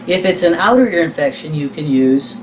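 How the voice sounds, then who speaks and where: A teacher with a mid-pitched voice, recorded at some distance from the mic with faint noise behind. The delivery is an informal, conversational lecture that moves step by step and often checks for understanding. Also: animated, fairly quick. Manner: conversational, explains step by step with frequent check questions. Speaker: teacher of a physical therapy exam prep class.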